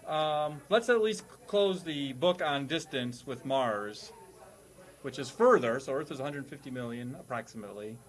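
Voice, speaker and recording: A man's voice: medium pitch, expressive, normal speed. A man hosting a comedy panel quiz with playful, mock-formal announcements and banter. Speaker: quiz host.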